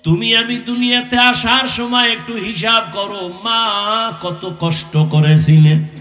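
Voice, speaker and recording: An Islamic preacher, a man with a Bangladeshi Bengali accent, delivers an emotional sermon in a roomy, echoing sound. His voice is high and forceful, and he moves at a slow pace. He draws out his phrases in a plaintive, half-chanted storytelling style. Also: soft background music. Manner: impassioned, plaintive, drawn-out singsong delivery.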